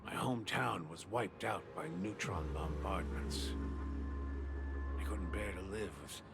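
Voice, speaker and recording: Low, courteous, world-weary war survivor. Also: male voice, very animated, creaky, exaggerated voice. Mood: somber. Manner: polite, measured, earnest. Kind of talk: English video game voice acting. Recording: game dialogue over loud music.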